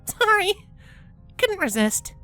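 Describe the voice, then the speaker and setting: Woman acting a high-pitched, bookish pony princess. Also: very animated, slow pace, bright, forceful tone, soft background music, exaggerated voice. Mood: teasing. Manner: precise, lecturing, teasing. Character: prim, bookish young female pony princess, patient but exasperated. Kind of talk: character acting in a fan fiction audio reading.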